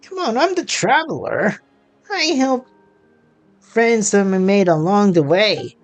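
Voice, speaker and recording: A woman's voice: mid-range voice, sing-song, slow. A woman game streamer giving playthrough commentary, reading dialogue aloud and reacting in a playful, rambling way. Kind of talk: playthrough commentary, voicing on-screen text and reacting. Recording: game music behind.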